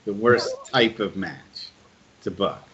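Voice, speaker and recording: A man's voice: lowish voice, slow pace, fairly bright tone. Blunt male co-host on muffled audio. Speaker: show co-host. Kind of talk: casual interview chat.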